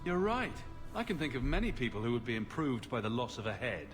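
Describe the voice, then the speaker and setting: A man's voice: medium pitch, very animated, a little fast, close to an everyday voice. A man acting in a video game as a sardonic, wisecracking fantasy hero with dry sarcasm. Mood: sardonic, teasing. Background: music.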